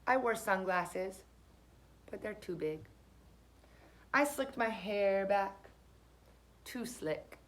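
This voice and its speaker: A woman's voice: medium pitch, lively intonation, slow pace, long pauses. A woman voicing a self-doubting, uncool little bean in a storybook, wistful and given to small asides. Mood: self-deprecating.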